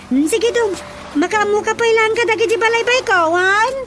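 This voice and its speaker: Very high-pitched village woman. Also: moderate pace, music in the background. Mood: chatty. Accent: Ilocano. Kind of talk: Ilocano radio comedy drama acting.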